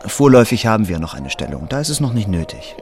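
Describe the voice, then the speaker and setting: A man's voice: lowish voice, sing-song, fast talker, a little mellow, faint music behind. A man playing an anxious but affectionate young husband and salesman in a German radio drama, emotional and a little hesitant. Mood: worried.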